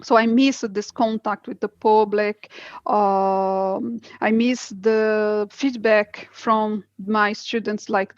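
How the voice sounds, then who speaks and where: A woman's voice: fairly high pitch, no room sound, dull audio. A woman from Brazil with a Brazilian Portuguese accent, speaking English as a guest in an interview, earnest and enthusiastic, often restarting her sentences. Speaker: guest, crypto educator. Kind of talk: interview conversation.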